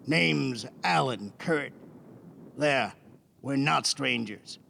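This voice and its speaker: A man playing a gruff but helpful old soldier in an audio drama, weary and dry, with a gravelly voice. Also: mid-range voice, expressive, drawn-out words, sharp tone, slight background noise, exaggerated voice. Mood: weary, kindly. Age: 60s. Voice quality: gravelly, creaky.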